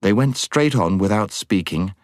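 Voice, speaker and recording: A man narrating a storybook as an audiobook reader, with dramatic, measured phrasing. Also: fairly low pitch, lively intonation, no echo, studio quality.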